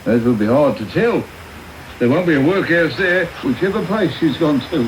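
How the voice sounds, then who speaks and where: A man's voice: fairly high pitch, lively intonation, normal speed, natural voice. Man playing pompous, swooping parish beadle. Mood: sly, hedging. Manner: self-important, hedging, ingratiating. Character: pompous, self-serving, obsequious male parish beadle. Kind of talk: radio drama acting. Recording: noisy off-air medium wave radio tape.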